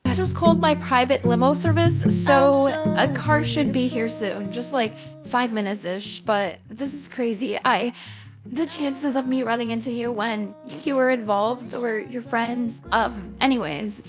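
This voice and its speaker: A woman's voice: on the high side, measured pace, over music, lightly acted. A woman playing an anxious young daughter of a rich man in an amateur roleplay drama, talking in a hesitant, conversational way. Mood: hurried, worried. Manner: hesitant, conversational, breaks off mid-sentence.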